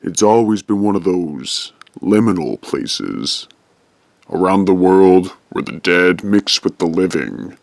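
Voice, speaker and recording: An actor playing a brooding storyteller, narrating a horror audio drama in a measured, ominous way. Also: male voice, deep voice, gravelly, no echo, heavily acted.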